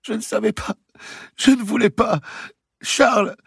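Sobbing, high-pitched young princess. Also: male voice, measured pace, gravelly, a bit bright, husky, no echo, studio quality, exaggerated voice. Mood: desperate pleading. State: crying. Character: pleading, sobbing, desperate young princess, frightened and fragile. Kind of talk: dramatic audiobook character voice.

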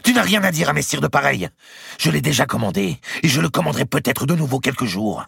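A man voicing a spiteful, proud condemned princess in an audiobook, with a hurried, breathless, passionate delivery. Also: low voice, a bit bright, husky, no echo, studio quality, pitched above the performer's own voice, full character voice. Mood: imperious. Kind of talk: dramatic audiobook character voice.

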